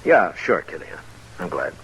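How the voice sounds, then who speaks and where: An American actor playing a weary, firm frontier marshal in an old radio western, terse, measured and authoritative. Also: male voice, deep voice, big pitch swings, a bit bright, husky, heavily acted. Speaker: lead actor and narrator. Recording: noisy vintage radio recording.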